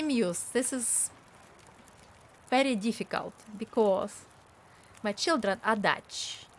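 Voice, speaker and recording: Slow-talking older woman streamer. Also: a little high, fairly bright tone. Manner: chatty, talks to viewers, laughs often. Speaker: game streamer. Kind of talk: casual live-stream chat while gaming.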